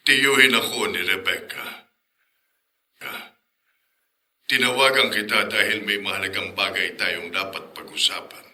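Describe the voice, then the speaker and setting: A man playing a serious older uncle in a radio drama, speaking gravely and in measured phrases. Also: lowish voice, fairly even intonation, pushed and bright. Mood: grave, insistent. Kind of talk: Filipino radio drama acting.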